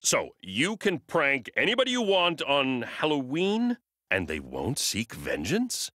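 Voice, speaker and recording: An actor playing a gleeful, naive young man in a video game, with a theatrical, drawn-out delivery. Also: medium pitch, huge pitch swings, pushed and bright, dry recording, clean studio sound, exaggerated voice. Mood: gleeful mischief. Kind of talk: scripted video game character voice lines.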